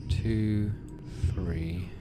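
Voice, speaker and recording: A man's voice: fairly low pitch, fairly even intonation, extremely slow, background noise. A man giving casual gaming commentary, reading game text aloud and muttering as he thinks. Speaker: let's play host.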